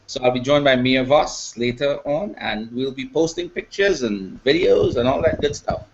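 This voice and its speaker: A man's voice: medium pitch, normal speed, lo-fi sound, slight background noise. A man from Trinidad introducing himself on a video call, upbeat. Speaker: panelist. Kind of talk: casual chat on a video call.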